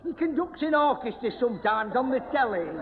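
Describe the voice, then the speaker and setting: An actor playing a muddled, forgetful old busybody, stammering and chuckling at himself in a radio sitcom. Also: male voice, very high-pitched, expressive, a little fast. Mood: bumbling, pleased. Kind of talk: broadcast radio sitcom acting before an audience. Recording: dull, noisy old radio recording, echoey hall.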